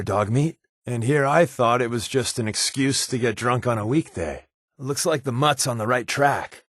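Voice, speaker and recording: A sardonic wasteland spy in a video game, close and dry in sound. He jokes in a deadpan way, yet his mid-range pitch moves around a lot. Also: no echo. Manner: dry, deadpan, joking delivery. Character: wisecracking sardonic male spy companion in a wasteland. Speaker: voice actor. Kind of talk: video game companion dialogue.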